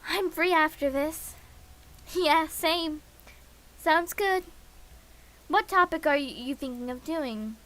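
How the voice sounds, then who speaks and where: A woman's voice: sits high, slow pace, pauses often, a bit bright. A woman streaming a game, reading visual novel dialogue aloud in a casual, playful way.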